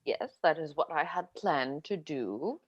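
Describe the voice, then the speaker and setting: A woman's voice: deep voice, lively intonation, unhurried, lo-fi sound, background noise. A woman playing a vain, fretful middle-aged stage actress in a play reading on a video call, theatrical in manner. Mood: indignant.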